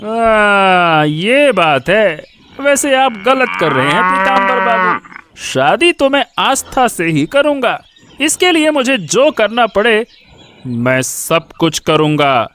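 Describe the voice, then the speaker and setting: A man playing a spoiled, menacing young bully from a rich family in a story, taunting with drawn-out words. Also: high-pitched, big pitch swings, pushed and bright, exaggerated voice. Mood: menacing, smug. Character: menacing, mocking young village bully, rich merchant's son. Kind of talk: Hindi audio story dramatic acting.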